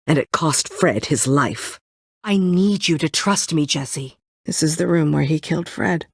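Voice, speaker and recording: A woman's voice: low-pitched, breathy edge, no echo, full character voice. A woman playing a bitter, guarded former admin and ex-prisoner in a video game, curt and dryly sarcastic. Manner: curt, dry sarcasm, brooding.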